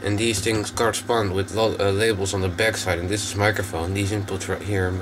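A man giving a casual, unscripted hands-on demonstration in a low, creaky voice, recorded on a handheld camera microphone. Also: level tone, a little fast, noisy background. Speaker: hobbyist video maker. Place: small indoor workroom.

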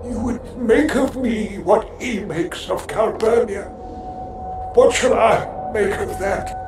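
An actor playing an older captive scholar mage, broken and rambling, speaking haltingly and poetically in a theatrical video game style. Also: male voice, high-pitched. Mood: resigned. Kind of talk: dramatic video game acting. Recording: echoing voice over loud music.

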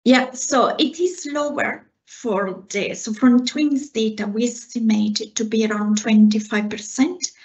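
A woman's voice: fairly high pitch, fairly even intonation, unhurried, hardly any pauses, darkish tone. A woman in her forties from Italy with an Italian accent, a researcher earnestly answering questions after her talk over a video call. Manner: earnest, explains with hand gestures. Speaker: guest speaker, researcher. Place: home room, indoors. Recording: slightly dull video call audio.

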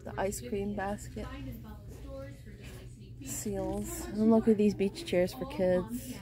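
A woman's voice: mid-range voice, fairly even intonation, slow pace, a crowd in the background. A woman vlogging a casual shopping trip through a home goods store, pointing out items and reading prices aloud. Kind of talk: casual shop-with-me narration.

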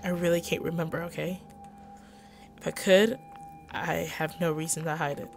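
A woman's voice: sits low, level tone, moderate pace, gravelly, soft background music. A woman gaming co-host with a theatrical, emotive delivery, acting out visual novel dialogue.

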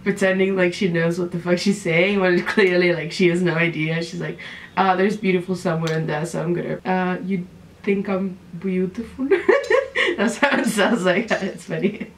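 A woman's voice: fairly low pitch, fairly even intonation, normal speed, slight background noise. A young woman from Russia with a Russian accent, reacting on camera in a small room with casual, sarcastic commentary. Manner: exasperated, sarcastic, laughs often. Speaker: vlogger. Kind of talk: reaction video chat.

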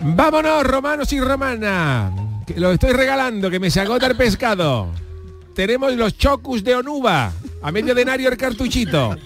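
A man's voice: very high voice, big pitch swings, normal speed, fairly bright tone, soft background music, exaggerated voice. A man playing a cheeky, streetwise time traveller from Cádiz in a comic radio play, with an Andalusian accent and colloquial wisecracks. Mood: hawking loudly. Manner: colloquial, wisecracking, clipped words.

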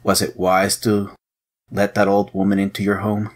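An actor playing a shrewd, suspicious head agent, probing and mocking, in an audio drama. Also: male voice, fairly low pitch, completely flat delivery, normal speed, close to an everyday voice. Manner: probing, sardonic.

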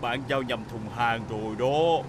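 A very deep, kind big truck. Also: female voice, measured pace, background noise. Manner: polite, helpful. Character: big kind helpful male cargo truck. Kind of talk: cartoon dub.

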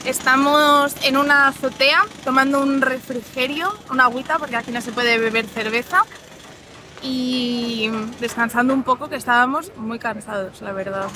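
A woman's voice: sits high, fairly even intonation, normal speed, sharp tone, slight background noise. A woman in her twenties with a Spain accent, presenting a casual travel vlog to camera as a vlogger. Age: 20s. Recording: music behind the voice. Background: music.

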